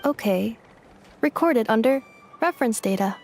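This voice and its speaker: A woman voicing a polite, literal-minded female robot in a video game, with clipped, formal, deadpan phrasing. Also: a little high, normal speed, faint music behind. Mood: analytical.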